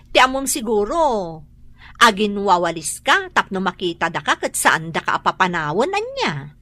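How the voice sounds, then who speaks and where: An actress with a Filipino accent playing a gossipy, sharp-tongued woman neighbour in a radio drama. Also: mid-range voice, big pitch swings, measured pace, dry recording. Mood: taunting, scornful.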